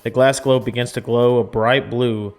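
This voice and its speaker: A man's voice: mid-range voice, steady tone, moderate pace, soft background music. A man reading a visual novel aloud as a gaming streamer, in a storytelling style with casual asides.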